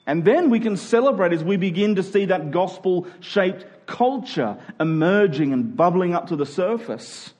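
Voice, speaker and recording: A man's voice: high-pitched, expressive, normal speed, hardly any pauses, a little mellow. A man with an Australian accent preaching as a pastor, earnest and conversational, often posing questions to his listeners. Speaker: church pastor. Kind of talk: sermon.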